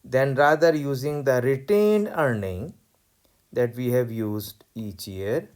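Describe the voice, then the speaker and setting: A man lecturing on accounting, explaining worked exercises step by step in a deliberate way and repeating key points. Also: mid-range voice, darkish tone. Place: voice-over recorded over tablet screen.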